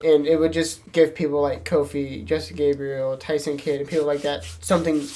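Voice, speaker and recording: Podcast panelist, dark mellow timbre, mid range, casual conversational delivery. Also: mid-range voice, level tone, fairly quick, a little hiss or hum. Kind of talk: casual wrestling talk.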